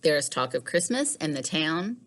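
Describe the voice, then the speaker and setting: A woman teacher reading a children's storybook aloud in a measured, animated way. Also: deep voice. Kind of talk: expressive storybook read-aloud.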